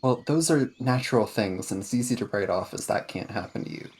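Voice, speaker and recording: A man with a husky voice talking casually as a player in a tabletop game podcast, with some background noise. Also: fairly low pitch, fairly quick, darkish tone.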